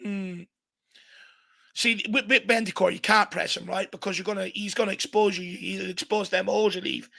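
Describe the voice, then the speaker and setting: A hoarse Irish man hosting a fan watchalong, giving excited live commentary and urging the players on. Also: high voice, normal speed. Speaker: fan channel host. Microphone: home desk mic.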